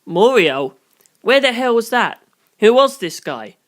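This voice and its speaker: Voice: medium pitch, big pitch swings, unhurried. A reader narrating a first-person story in a conversational style. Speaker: audiobook reader.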